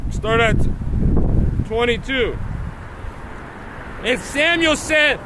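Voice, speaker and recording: A man with a slightly raspy voice from a mild sickness, preaching and reading scripture emphatically outdoors. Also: very high voice, lively intonation. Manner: emphatic, drawn-out declaiming when reading verses. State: coming down with a slight illness. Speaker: street preacher livestreaming. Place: outdoors in strong wind. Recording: windy, very noisy outdoor livestream.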